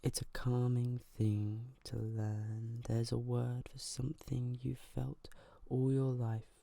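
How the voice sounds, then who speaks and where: An ASMR host reading aloud in a soft, breathy whisper, gentle and hushed, close to the microphone. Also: mid-range voice, flat delivery, takes it slowly, no room sound. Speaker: host of an ASMR video channel.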